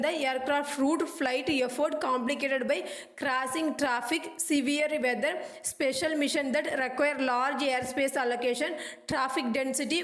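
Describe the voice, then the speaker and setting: Female lecturer, high pitch, clipped and halting read of study notes, roomy echo. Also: a bit bright. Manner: halting, clipped reading with dropped words. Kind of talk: scripted educational narration.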